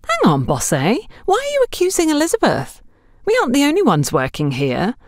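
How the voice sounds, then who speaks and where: A bold young shop girl, put on by a woman narrator, pitched high and very animated. She is blunt and challenging, in audiobook style. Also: brisk, no room sound, clean studio sound. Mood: challenging, indignant. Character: bold, outspoken young salesgirl, loyal and challenging.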